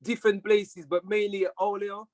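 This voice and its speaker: A laid-back man from France talks with a slightly raised pitch, often laughing as he rambles. The recording is dry and close, with no room sound. He speaks in an easy, unhurried interview tone. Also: level tone, darkish tone, no echo. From France, family from Martinique. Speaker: reggae artist guest. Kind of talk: relaxed conversational interview.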